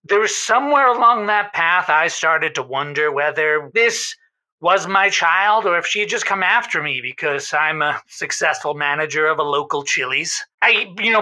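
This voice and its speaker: A man's voice: high-pitched, moderate pace, bright, forceful tone, rough recording. A man playing a deluded, defensive but earnest boyfriend on a comedy call-in show, talking in an emphatic, self-justifying way. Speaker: caller.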